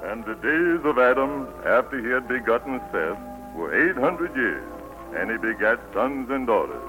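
An actor playing a kindly elderly preacher with a Southern US accent, telling stories in a folksy, patient way in 1940s radio style. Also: male voice, mid-range voice, big pitch swings, moderate pace, natural voice, rough recording. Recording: old 1940s radio broadcast, music behind.

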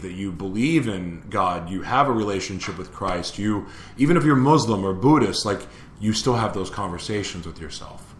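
A man's voice: low voice, fairly bright tone, roomy sound. A man hosting a live motivational show, preaching to his listeners and asking them the same question again and again. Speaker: show host.

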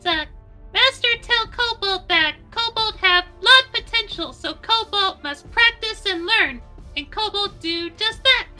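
Tiny naive kobold servant, very high and piercing, earnest broken speech for a fantasy RPG. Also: expressive, a little slow, pushed and bright, soft background music, heavily acted. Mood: eager, trusting. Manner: broken third-person speech, speaking of self by name.

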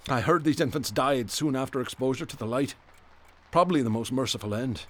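An actor playing a haunted, bitter, obsessive police detective in a radio drama, with brooding, florid, theatrical speech. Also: male voice, medium pitch, brisk, fairly bright tone, close to an everyday voice. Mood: grim, subdued.

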